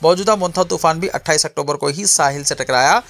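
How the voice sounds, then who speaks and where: Higher-pitched male news reader. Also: fast, fairly bright tone. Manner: formal. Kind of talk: scripted news bulletin narration.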